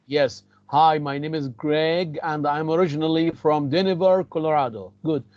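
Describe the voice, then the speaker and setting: A man's voice: on the high side, fairly even intonation, normal speed, dry recording, muffled recording. A man with an Egyptian accent teaching an English class, repeating phrases and mixing in Arabic. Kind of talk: classroom lecture.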